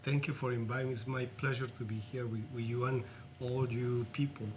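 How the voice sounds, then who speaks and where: A man's voice: medium pitch, level tone, a little hiss or hum. Colombian-accented man, earnest and reflective. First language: Spanish. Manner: earnest, reflective, halting non-native English. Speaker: interview guest, artist. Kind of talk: personal storytelling in an interview.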